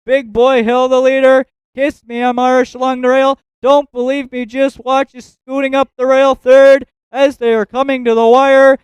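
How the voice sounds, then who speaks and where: A man working as a track announcer, calling a live harness race with rapid, rhythmic listing of positions and times. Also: very high voice, little pitch movement, smooth, dry recording, low-quality recording.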